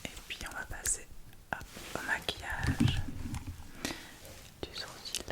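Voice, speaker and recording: Slow whispering woman, ASMR makeup tutorial. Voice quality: breathy, unvoiced whisper. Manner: soft, unhurried, step-by-step narration of own actions.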